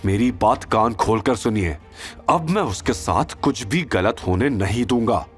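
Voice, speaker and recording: A man's voice: fairly low pitch, animated, a little slow, faint music behind. A man playing an angry, protective young man with a firm, challenging manner in an audio drama. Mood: firm warning.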